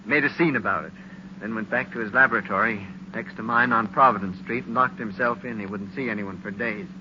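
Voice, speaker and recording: An actor playing an earnest, formal gentleman inventor in an old radio thriller. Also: fairly low pitch, brisk, close to an everyday voice. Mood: earnest, explanatory. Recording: noisy, muffled old radio recording.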